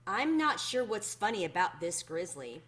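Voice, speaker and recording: A woman's voice: fairly low pitch, sing-song, normal speed, a bit bright. A woman voicing a storybook aunt, a grown woman who is firm, protective and pointedly indignant. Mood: firm indignation.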